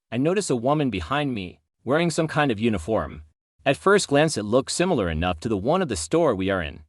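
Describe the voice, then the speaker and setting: Animated male storyteller, dry close sound. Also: medium pitch, no room sound. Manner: acts out quoted dialogue. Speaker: channel host narrator reading stories. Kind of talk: scripted story narration.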